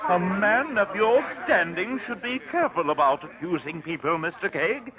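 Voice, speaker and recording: High-pitched, stiff, resentful professor. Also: male voice, expressive, normal speed, crowd noise. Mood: defiant. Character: resentful, defensive male professor accused of murder. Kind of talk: vintage radio drama acting. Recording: dull vintage radio broadcast recording.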